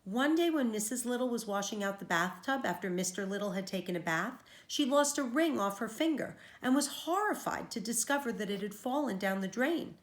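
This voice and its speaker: A woman's voice: a little high, lively intonation, a little fast, hardly any pauses, echoey room. A woman teacher reading a children's storybook aloud, explaining things to young listeners as she goes.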